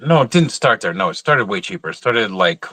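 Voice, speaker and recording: A man's voice: lowish voice, big pitch swings, a little fast, pushed and bright, breathy edge, no echo. A drunk man giving opinions in casual chat, stammering and repeating words as he starts his sentences.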